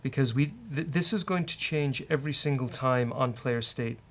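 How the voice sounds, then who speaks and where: A man hosting a casual live-coding session, thinking aloud as a software developer. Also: mid-range voice, moderate pace. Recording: faint noise, keyboard typing.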